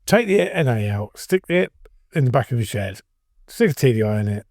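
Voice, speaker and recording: English guy w a blocked nose from a cold, mid pitch, deadpan podcast chat, dry sound. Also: brisk, no echo. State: bunged up with a cold. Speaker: podcast host. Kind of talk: casual chat.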